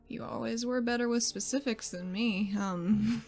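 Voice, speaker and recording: Woman as uneasy heroine with creaky voice. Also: on the high side, a little mellow. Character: guarded, uneasy woman returning to active duty.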